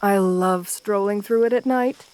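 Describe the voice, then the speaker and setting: A woman's voice: medium pitch, measured pace, a little mellow, smooth, lightly acted. A woman playing an assertive, flirtatious vampire countess in an ASMR roleplay, speaking to the listener in a genteel, doting way. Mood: gracious, charming. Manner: genteel, doting, uses pet names.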